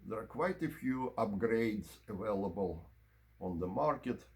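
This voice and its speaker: A man presenting an informal product review and demonstration, explaining in measured, short broken phrases. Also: fairly low pitch, animated. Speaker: presenter of audio equipment review.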